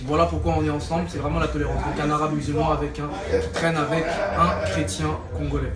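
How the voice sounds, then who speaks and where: A man's voice: a little high, flat delivery, quick pace, reverberant, recorded from a distance, over a noisy crowd. A man comedian clowning around in an interview, then turning earnest about his message. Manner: clowning, playful. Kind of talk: joking interview.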